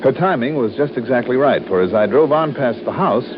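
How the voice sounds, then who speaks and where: An actor playing a suave, wry insurance investigator in an old-time radio detective drama, smooth and conversational. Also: male voice, medium pitch, expressive, fairly quick, noisy background, lightly acted. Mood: wry, matter-of-fact. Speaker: lead actor and narrator. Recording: dull vintage radio recording with music.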